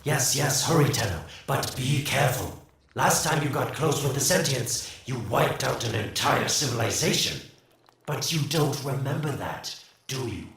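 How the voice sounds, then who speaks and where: An actor playing a smug, scheming profiteer in a video game, talking in a sly, mocking, theatrical drawl with teasing pauses. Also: male voice, medium pitch, expressive, vocal fry, a bit bright. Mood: sly, taunting. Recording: roomy, distant, noisy game capture with ambience.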